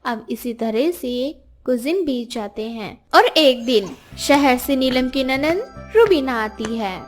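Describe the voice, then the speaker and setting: High-pitched woman storyteller. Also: expressive, slow, soft background music. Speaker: storyteller narrator. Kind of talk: scripted story narration for a Hindi moral tale.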